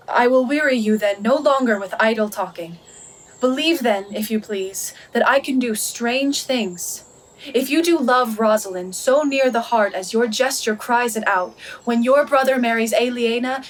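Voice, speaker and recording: A woman's voice: on the high side, unhurried, recorded from a distance, rough recording. A young woman acting a witty, playful heroine disguised as a shepherd boy in a Shakespearean radio play, speaking articulately. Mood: earnest, assured. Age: young adult.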